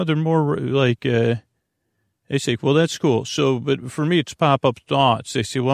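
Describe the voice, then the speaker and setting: A man with a creaky, gravelly voice, recorded close and dry. He hosts a bedtime show in a meandering monologue, often repeating himself and leaving phrases unfinished. His manner is self-deprecating. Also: medium pitch, dry recording. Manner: halting, repeats and trails off mid-phrase. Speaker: host of a bedtime podcast.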